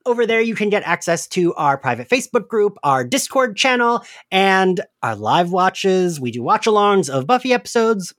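A man hosts a casual podcast in an animated, up-and-down voice. His talk is informal and chatty, peppered with "like", and the sound is dry and close. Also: high voice, moderate pace, hardly any pauses, dry recording. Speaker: podcast host. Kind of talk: casual chat about an audio drama.

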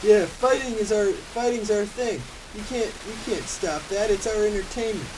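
A man playing a slow-witted, defensive male sloth who stumbles through his words in an amateur audio comedy. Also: high voice, natural voice. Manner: halting, stumbling over lines. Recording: distant mic, clearly noisy hiss.

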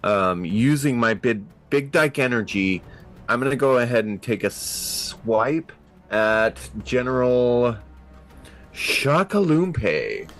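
A man playing a tabletop game, describing his character's actions in a halting, theatrical way. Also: mid-range voice, expressive, faint music behind.

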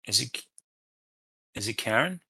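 A man with a lowish-to-middle everyday voice chats casually as a podcast co-host. He feels his way through sentences, circling back and asking questions. The sound is dry, with little room around him. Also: lively intonation, gravelly, sharp tone, husky, no echo. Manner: halting, questioning, often repeats himself. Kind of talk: casual book discussion.

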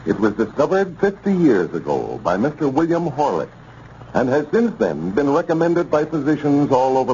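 A man announcing in formal, emphatic 1930s radio style, his pitch rising and falling widely for emphasis. It comes through a muffled vintage recording with a faint hiss behind it. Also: dark tone. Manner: formal, emphatic sales delivery.

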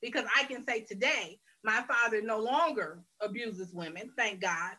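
A woman tells her own story on a faith interview show. Her voice is fairly high and bright, and she speaks earnestly and candidly, often circling back to restart a phrase. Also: moderate pace. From Detroit, Michigan, USA. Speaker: guest sharing her life story. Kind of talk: personal testimony in a faith interview.

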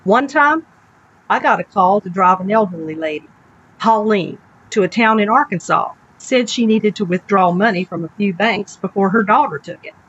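A woman's voice: medium pitch, normal speed, a bit bright, slightly rough. A woman reading a story, playing a good-humoured, folksy female cab driver telling an anecdote. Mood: chatty. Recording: dull lo-fi audio, background noise.

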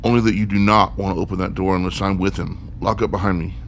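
An actor playing a wary, serious and protective son in a radio play, speaking tersely. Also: male voice, low voice, little pitch movement, fast, a little hiss or hum, natural voice. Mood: urgent.

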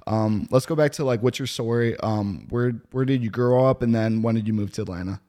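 A man's voice: on the low side, brisk, mellow. A male podcast host in a laid-back, casual interview chat, using easygoing slang.

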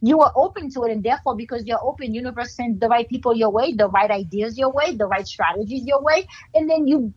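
A woman's voice: a little high, quick pace, few pauses, slightly rough, lo-fi sound. A woman with a Haitian accent, a guest in a casual interview, explaining in an upbeat, motivational way.

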